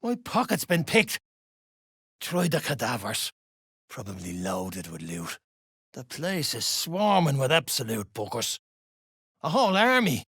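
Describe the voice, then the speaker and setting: Husky, blunt duergar clan fighter. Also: medium pitch, sing-song, a little slow, long pauses, fairly bright tone, no room sound, studio quality, heavily acted. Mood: gruff, wary. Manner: coarse, clipped orders in dialect. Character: rough, blunt duergar dwarf clan fighter, irritable scavenger. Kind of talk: video game character voice acting.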